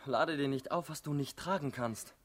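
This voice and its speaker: An actor playing a high-strung, indignant young idealist in a German radio drama, nervy and theatrical. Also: male voice, normal speed, natural voice. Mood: gloomy, wry.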